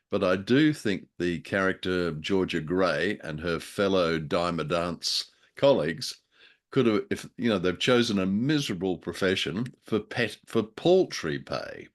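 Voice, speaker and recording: A man co-hosting a casual review chat about old radio drama, with a wry, jokey manner and a taste for dramatic turns of phrase. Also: low-pitched. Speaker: podcast co-host.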